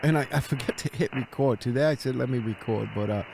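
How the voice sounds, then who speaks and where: A man's voice: medium pitch, fast talker, gravelly, noisy background. A man who is an amateur radio operator, chatting casually and making radio calls. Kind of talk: casual chat and radio calling with phonetic alphabet.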